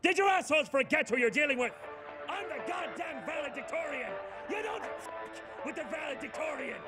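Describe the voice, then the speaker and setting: A high school valedictorian with a high voice that swings widely in pitch. He is a foul-mouthed, domineering game villain who bullies those below him. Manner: domineering, swearing, menacing. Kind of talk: video game cutscene acting.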